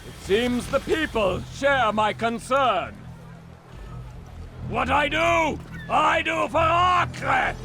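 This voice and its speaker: An actor playing a paranoid, tyrannical knight commander in a video game, with an accusing, theatrical delivery. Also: male voice, extremely high pitch, very slow, gravelly, faint music behind, heavily acted. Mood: furious, grandiose. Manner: accusing, theatrical, swinging from menace to shouting. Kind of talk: dramatic video game acting.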